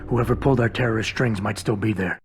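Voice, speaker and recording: An actor playing a gruff, stoic, sardonic security operative in a video game cutscene, terse and gravelly. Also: male voice, on the low side, fast talker, music in the background. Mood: terse, dry. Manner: terse, dry sarcasm.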